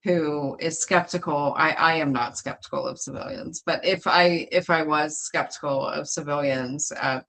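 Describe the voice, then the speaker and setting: Brief: woman veteran host, low voice, halting and filler-heavy as she works toward her question. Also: fairly even intonation, a bit bright. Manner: halting, many ums and uhs. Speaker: show host, Army veteran. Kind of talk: livestream interview questions.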